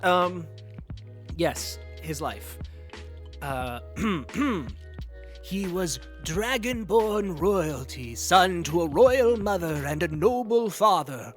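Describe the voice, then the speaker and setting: A man plays an eager young tabletop gamer who is easily miffed. His pitch moves about in a lively way, with music under the audio drama dialogue. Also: drawn-out words, exaggerated voice. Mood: showy, earnest.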